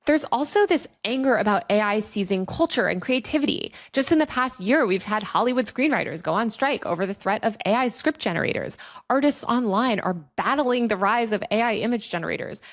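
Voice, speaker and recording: A woman's voice: medium pitch, animated, fairly quick. Conversational woman commentator. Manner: opinionated, conversational, emphatic. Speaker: commentator, host. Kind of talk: video essay commentary on online culture.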